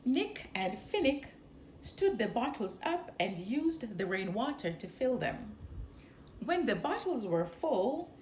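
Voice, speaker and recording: A woman reading a children's storybook aloud with expressive, deliberate phrasing. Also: fairly high pitch. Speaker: storybook reader. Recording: roomy echo, some background noise.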